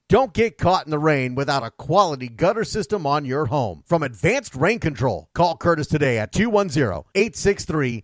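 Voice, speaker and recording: A man speaks as a casual radio host. His voice sits a little higher than most men's, and he has a chatty, folksy delivery. The sound is close and dry. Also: dry recording. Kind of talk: casual sports radio talk.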